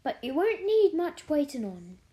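A woman's voice: high-pitched, very animated, normal speed, dark tone, pitched above the performer's own voice. A woman voicing a good-humoured, plain-spoken young housemaid who talks in broad Yorkshire dialect, for a storybook. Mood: stout.

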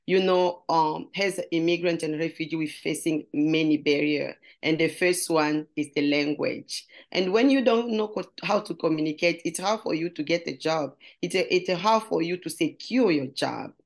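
A woman's voice: low-pitched, moderate pace, hardly any pauses. A middle-aged woman from Congo with a Congolese French accent, telling her story in an interview and searching earnestly for English words. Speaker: guest. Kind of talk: interview conversation.

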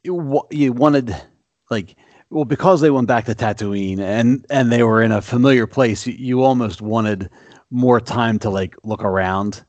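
A man's voice: medium pitch, unhurried, a little mellow, no echo, muffled recording. A man with a mid-range voice co-hosts a casual podcast. He rambles along in loose sentences packed with fillers. The sound is dry and close to the mic. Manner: rambling, filler-heavy, easy laughs. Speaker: podcast co-host. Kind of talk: casual chat.

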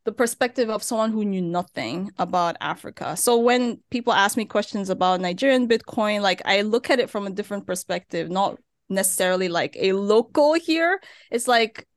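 A woman's voice: medium pitch, expressive, normal speed, no room sound. Chatty young woman on a dry mic. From Turkey. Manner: chatty, with frequent fillers and laughs. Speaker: podcast guest. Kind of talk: casual interview chat. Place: living in Nigeria.

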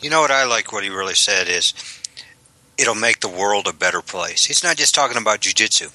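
A man's voice: lowish voice, animated, pushed and bright, dry recording, low-quality recording. A man co-hosting a casual podcast, easygoing and agreeable, telling stories at length. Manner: easygoing, agreeable, drawn-out storytelling. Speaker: podcast co-host.

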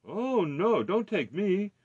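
Man doing a raised-pitch middle-sized billy goat. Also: sing-song, takes it slowly, a little mellow, husky, dry recording, highs cut off, exaggerated voice. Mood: anxious, pleading. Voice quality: not so small a voice.

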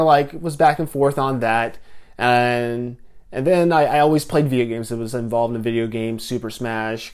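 Young guy doing a chill solo podcast from home, kinda stuffy from a cold, rambles and restarts a lot. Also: medium pitch. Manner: rambling, with frequent restarts and filler words. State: getting over a head cold. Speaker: podcast host. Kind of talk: casual solo podcast talk.

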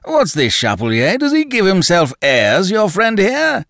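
Booming, hectoring colossus, put on by a male reader in a lively-pitched, dry audiobook read. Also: unhurried, creaky, no room sound, exaggerated voice. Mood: blustering. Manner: loud, blustering, hectoring. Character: roaring, coarse, domineering giant of a man.

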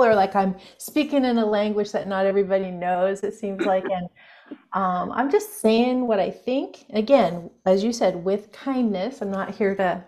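A woman's voice: mid-range voice, a little mellow. A woman guest on an interview show, a spiritual teacher talking in a musing, loose way with many fillers and small laughs. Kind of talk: reflective interview conversation.

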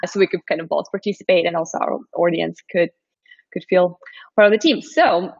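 A woman's voice: fairly low pitch, brisk, creaky, husky. A woman from Moscow, Russia, hosting a live-stream interview in an upbeat, encouraging way with many fillers. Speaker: host. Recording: dull lo-fi stream audio.

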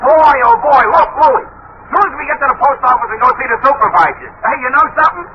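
Very high-pitched, excitable mailman. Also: quick pace. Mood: excited. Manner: slangy, eager, broad. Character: excitable, chummy working-class male postal worker. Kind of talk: 1940s radio comedy acting. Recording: muffled, noisy old radio recording.

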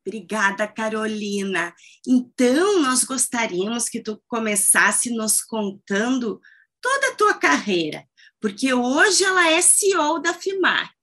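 A woman hosts a podcast and welcomes her guest in a friendly, unhurried manner. Her voice sits high and sounds bright and clear, and she speaks at a slow, easy pace. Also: sing-song. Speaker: podcast host. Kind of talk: podcast hosting.

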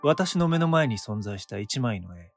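A blunt, brusque art patron. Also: male voice, mid-range voice, sing-song, moderate pace, creaky. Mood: awed. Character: blunt male art patron, gruff then awestruck. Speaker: former patron of the painter. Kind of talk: radio drama acting. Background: background music.

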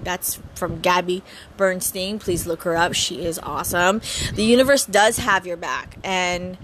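A woman's voice: on the low side, poor audio. Woman in her thirties, from Hawaii, casual podcast chat, stutters and restarts, audible background noise. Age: 30s. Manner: chatty, giggly, stutters and restarts often. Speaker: podcast host. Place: home.

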